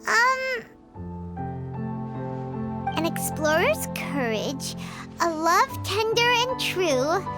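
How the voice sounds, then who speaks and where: Squeaky little fairy pal, bouncy and bossy, game soundtrack behind. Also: female voice, big pitch swings, loud music behind the voice, heavily acted. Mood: puzzled. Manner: squeaky, bossy, quick to tease. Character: tiny floating fairy companion, chirpy and blunt.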